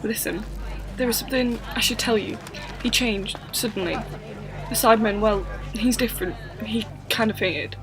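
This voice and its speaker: Woman as young sci-fi companion, earnest, hesitant, fairly high voice, audio drama, music behind. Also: moderate pace, close to an everyday voice. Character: bewildered, earnest young female time-traveller's companion.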